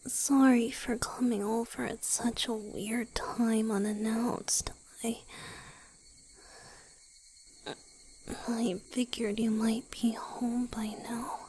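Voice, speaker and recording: A woman voicing a weak, injured young vampire boyfriend in a romantic ASMR roleplay, speaking haltingly and tenderly in a breathy, pleading, apologetic way. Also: on the high side, little pitch movement, background noise. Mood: weary, tender. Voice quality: breathy whisper. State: weak, strained.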